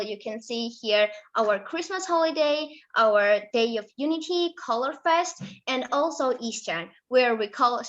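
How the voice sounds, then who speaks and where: A young woman from Georgia, just out of school, presenting her country in English with a Georgian accent, halting and full of fillers and self-corrections. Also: on the high side, fairly bright tone, highs cut off. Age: late teens. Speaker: student presenter.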